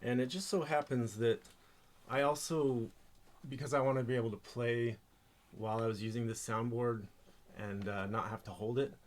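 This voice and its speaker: A man presenting an informal hands-on tech tutorial, speaking haltingly as he thinks aloud while handling gear. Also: mid-range voice, steady tone.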